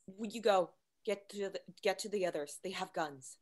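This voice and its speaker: A woman playing a wounded, protective female android in a screenplay table read, hushed and hurried. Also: mid-range voice, lightly acted. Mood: bitter, determined.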